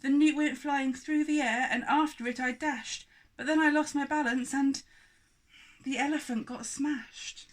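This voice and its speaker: A woman voicing an excitable, guilty small boy who makes hasty, pleading excuses in a storybook. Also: sits high, a little slow, pitched above the performer's own voice. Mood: eager, blaming.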